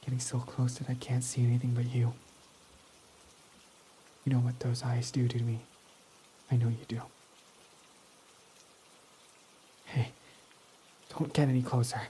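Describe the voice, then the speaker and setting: A man's voice: medium pitch, monotone, normal speed, long pauses, dark tone, a little hiss or hum, lightly acted. Man voicing a proud tsundere villain. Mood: flustered, teasing. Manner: defensive, swears when flustered. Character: proud tsundere young male villain, gruff but smitten. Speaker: ASMR roleplay performer. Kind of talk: ASMR roleplay.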